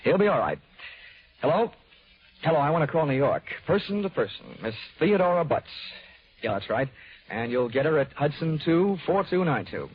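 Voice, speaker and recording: Hard-boiled, wisecracking American investigator. Wry and punchy, animated intonation, old radio show with muffled fidelity. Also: male voice, a little high, normal speed, breathy edge. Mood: breezy, confident. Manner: wry, flippant, punchy delivery. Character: cocky, wisecracking male insurance investigator, hard-boiled detective. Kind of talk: old-time radio detective drama acting. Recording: dull vintage radio recording.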